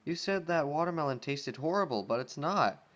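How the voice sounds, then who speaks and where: A man's voice: on the high side, lively intonation, moderate pace, fairly bright tone, pitched above the performer's own voice. A man voicing an oblivious young female cartoon pony, a daredevil with a naive manner, in a story reading.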